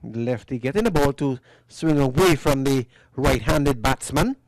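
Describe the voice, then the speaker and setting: Talkative guy calling cricket from the booth, joking around, dry close sound, middle pitch. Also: measured pace, no room sound, low-quality recording. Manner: chatty, teasing, fond of asking follow-up questions. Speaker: cricket commentator. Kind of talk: live match commentary and booth interview. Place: commentary booth at a cricket ground. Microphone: commentary booth microphone.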